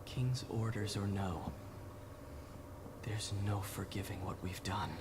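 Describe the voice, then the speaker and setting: An actor playing a brooding, guilt-ridden young knight in a video game, solemn and halting. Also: male voice, on the low side. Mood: remorseful. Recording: noisy game ambience behind.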